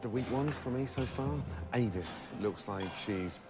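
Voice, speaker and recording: A man's voice: medium pitch, a little slow. A man serving as a TV cooking competition judge, giving terse critical assessments. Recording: loud TV music bed behind.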